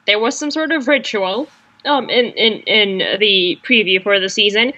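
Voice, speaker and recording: A woman's voice: mid-range voice, moderate pace, highs cut off, background noise. A young woman whose first language is Russian, co-hosting a casual fan radio chat, agreeable and full of fillers like "yeah". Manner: chatty, agreeable, many fillers. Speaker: podcast co-host.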